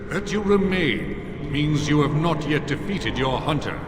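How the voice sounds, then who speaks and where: A man's voice: fairly high pitch, expressive, unhurried. A man playing a proud, stern warrior spirit in a fantasy video game, speaking formally and haughtily with an otherworldly reverb. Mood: solemn disdain. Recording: echoing reverb with loud background noise.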